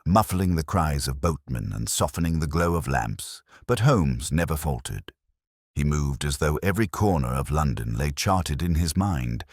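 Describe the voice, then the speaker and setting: Very deep-voiced male storyteller, theatrical. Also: no room sound, clean studio sound. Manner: measured, literary, theatrical delivery. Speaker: audiobook narrator playing Dr. Watson. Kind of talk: scripted dramatic narration of a Victorian detective story.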